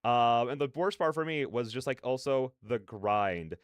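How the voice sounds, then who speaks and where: A man's voice: mid-range voice, animated, measured pace, clean tone, dry recording. A man hosting a livestream, chatting casually and animatedly in slangy, rambling opinions. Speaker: livestreamer, reaction host. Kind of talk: casual livestream chat with viewers.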